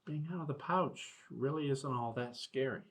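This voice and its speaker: A man voicing a reassuring, empathetic kangaroo pupil in a gentle, encouraging storybook read-aloud. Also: mid-range voice, moderate pace, pitched above the performer's own voice, natural voice.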